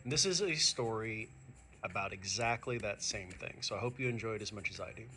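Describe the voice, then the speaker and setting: A man who is a teacher reading a picture book aloud to young students, expressive and speaking to them directly. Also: lowish voice, a little fast. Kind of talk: animated children's read-aloud.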